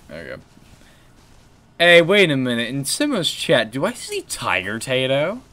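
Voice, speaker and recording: A young man with a deep voice chatting on a gaming stream, low-key and rambling with fillers and restarts. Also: wildly swooping pitch, unhurried, sharp tone. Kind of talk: casual gaming stream chat.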